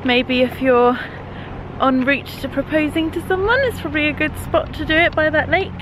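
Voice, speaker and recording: A woman's voice: high-pitched, moderate pace. Young woman, giggly travel vlog, handheld camera, noisy outdoor sound. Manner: chatty, giggly. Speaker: travel vlogger. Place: outdoors on a trail and in a cafe. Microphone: handheld camera at arm's length.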